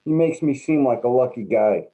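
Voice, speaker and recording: A man's voice: medium pitch, lively intonation, normal speed, dark tone, lightly acted, low-quality recording. A man playing a resigned but friendly homeless man in a staged reading, with a wry, folksy, theatrical manner.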